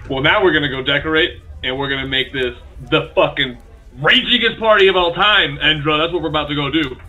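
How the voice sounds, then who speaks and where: A man's voice: a little high, brisk. A man playing a tabletop game online, joking and swearing casually. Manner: animated, jokey, swears casually. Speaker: player. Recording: lo-fi mic.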